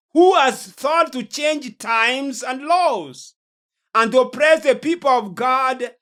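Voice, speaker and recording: A male preacher with a Kenyan accent speaks in a sermon-like lecture. His delivery is slow and deliberate. He keeps a solemn manner and puts emphatic stress on certain words. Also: extremely high pitch, a bit bright.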